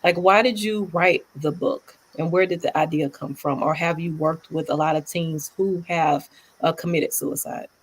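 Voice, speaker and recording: Low-voiced woman therapist asking questions. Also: fairly even intonation, normal speed, breathy edge, low-quality recording. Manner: searching, loosely phrased questions. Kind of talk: interview questions.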